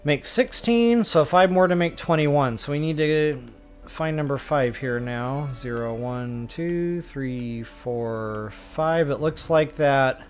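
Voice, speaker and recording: A man's voice: on the high side, slow. A man giving a casual, folksy gameplay walkthrough, explaining things informally and thinking aloud as he plays. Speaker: game walkthrough host. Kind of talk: casual gameplay commentary, explaining as they play. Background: game music behind the voice.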